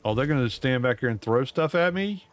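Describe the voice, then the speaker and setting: A man, streaming a game and muttering to himself in a drawling, self-mocking way, his voice going hoarse. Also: medium pitch, animated, a little fast. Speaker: gaming streamer. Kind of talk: casual gameplay commentary.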